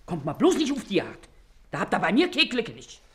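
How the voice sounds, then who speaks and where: A woman's voice: mid-range voice, wildly swooping pitch, brisk, fairly bright tone. An actress playing a shrewd, brazen middle-aged working-class mother in a radio play, with an earthy, canny manner and a Berlin accent. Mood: dismissive. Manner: earthy, canny, feigning indifference. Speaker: actor. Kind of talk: German radio play acting in dialect.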